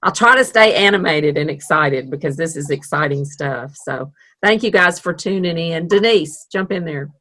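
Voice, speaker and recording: Low-voiced chatty woman art teacher. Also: lively intonation, normal speed, hardly any pauses, bright, forceful tone. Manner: chatty, self-deprecating, upbeat. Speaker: art instructor. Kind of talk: online art class teaching. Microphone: video call.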